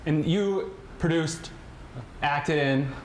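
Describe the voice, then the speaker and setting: A man's voice: a little high, very slow, crowd noise. A man in his thirties hosting a TV interview, playful and bantering. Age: 30s. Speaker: TV host. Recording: roomy echo.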